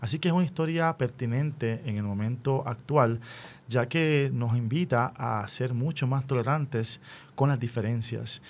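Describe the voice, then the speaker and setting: Puerto Rican man hosting a radio interview. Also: mid-range voice. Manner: frequently checks in with rhetorical tag questions.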